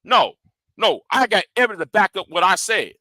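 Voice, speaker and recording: A man with a high voice and a hard, sharp edge, ranting in a commentary vlog. He swears freely, stumbles over repeated words and talks straight at his critics. The sound is dry and close. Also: animated, brisk, no echo. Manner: confrontational and profane, repeats and restarts words; challenging his audience directly. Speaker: YouTube commentator.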